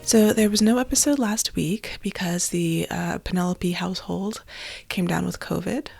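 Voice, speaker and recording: Slightly stuffy woman with gravelly fry. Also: lowish voice, moderate pace, a little mellow, soft background music. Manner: chatty and candid, with fillers and small laughs. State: stuffy and nasal, getting over an illness. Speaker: podcast host and author. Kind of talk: casual solo podcast monologue.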